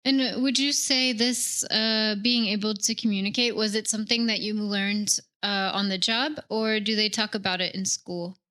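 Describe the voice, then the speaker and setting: Bright, clear-voiced young woman host. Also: mid-range voice, steady tone, normal speed, few pauses. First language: English. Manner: chatty and reflective, with many "like" and "you know" asides. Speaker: interviewer host. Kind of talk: casual interview chat.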